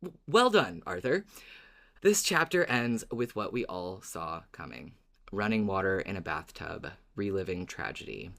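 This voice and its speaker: A man's voice: sits low, sing-song, unhurried, gravelly, a bit bright. A man co-hosting a podcast, chatting casually and recapping in a playful, wry, dramatic way. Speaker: podcast co-host.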